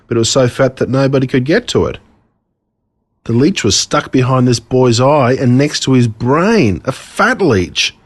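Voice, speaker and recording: An Australian man reading a scripted children's storybook in a dramatic, deliberate way, relishing the gruesome parts. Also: mid-range voice, breathy edge. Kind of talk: scripted storybook narration told as a boy.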